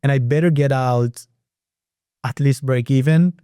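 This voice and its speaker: Israeli guy, hebrew first language, mellow voice, restarts his sentences a lot, dry room. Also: medium pitch, a little slow, clear, resonant tone, no echo. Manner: explains step by step, frequent restarts. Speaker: private real estate lender, guest. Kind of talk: casual podcast interview.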